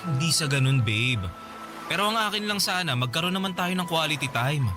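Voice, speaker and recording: A man's voice: on the high side, expressive, brisk, lightly acted. A man playing a neglected, sulky young boyfriend in a Filipino radio drama, pleading and complaining. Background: music.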